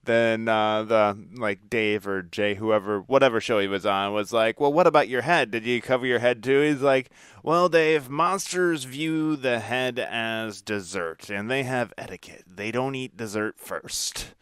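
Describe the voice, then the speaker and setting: A man's voice: medium pitch, a little slow, a bit bright, smooth, no room sound, studio quality. A man hosting a podcast interview in a casual, laid-back, informal way. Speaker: podcast host.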